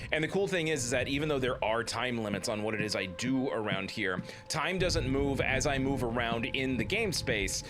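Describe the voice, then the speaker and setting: A man in his thirties hosting a let's play, joking and commenting casually as he plays. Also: mid-range voice. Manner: playful, jokey, slips into silly voices.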